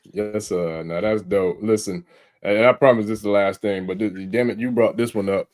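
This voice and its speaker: A man's voice: lowish voice, steady tone, normal speed, darkish tone. A man in casual chat, talking laid-back with slang and lots of fillers.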